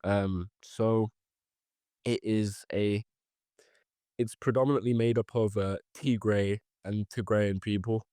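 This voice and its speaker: A young man from Ethiopia and Eritrea talks through history as a podcast guest, pausing often with ums and restarting phrases. His voice sits fairly low, and the sound is dry and close. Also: fairly even intonation, warm tone, clear, resonant tone, no room sound. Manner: hesitant, many ums and restarts. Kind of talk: casual explanatory chat.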